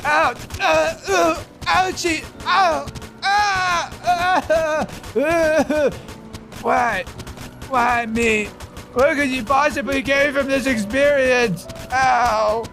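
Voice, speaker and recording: A very high, whiny cartoon crewmate, self-absorbed and theatrical. He rambles like a storyteller, with faint music behind him. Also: extremely slow, creaky, bright, forceful tone, exaggerated voice. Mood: whiny, panicked. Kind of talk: cartoon voice acting.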